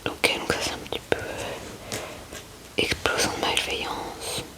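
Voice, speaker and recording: A woman whispering ASMR commentary in a breathy, hushed murmur, close to the microphone. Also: a little slow. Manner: hushed, murmuring short asides to viewers. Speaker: ASMR creator.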